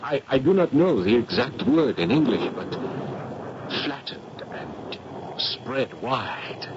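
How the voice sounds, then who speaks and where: A halting, shaken foreign man, noisy broadcast. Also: medium pitch, sing-song. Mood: shaken, hesitant. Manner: hesitant, searching for words in a second language. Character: shaken foreign man describing a horrifying death. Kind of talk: 1970s radio mystery acting. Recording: noisy, muffled 1970s radio broadcast.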